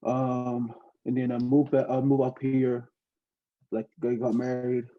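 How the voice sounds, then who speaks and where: A young man from Tonga, a native Tongan speaker talking English, telling his story haltingly with many fillers and laughs. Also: medium pitch, flat delivery, highs cut off. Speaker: podcast guest, student pilot. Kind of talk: casual interview storytelling.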